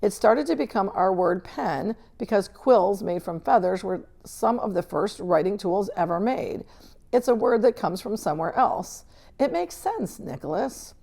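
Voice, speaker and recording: A woman voicing a stern, controlled older female schoolteacher in a storybook, speaking in a measured, precise, formal way. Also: mid-range voice, animated, gravelly, darkish tone. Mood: firm, probing. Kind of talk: storybook read-aloud character dialogue.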